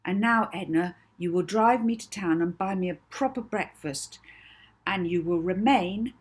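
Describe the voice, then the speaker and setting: Woman playing a slow, soothing girl hypnotist. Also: lowish voice, husky. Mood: firm, commanding. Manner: slow, deliberate, coaxing, commanding. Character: young orphan girl hypnotist, soothing, bold, bossy. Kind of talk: audiobook character voice.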